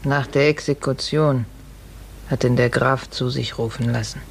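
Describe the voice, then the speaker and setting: An actress playing a kindly, resigned serf woman from the stables, soothing and unhurried, in a 1950s German radio drama. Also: a bass voice, steady tone, creaky, full character voice. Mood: resigned, reassuring. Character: motherly, weary female stable serf, resigned, kindly comforter. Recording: noisy 1950s radio recording.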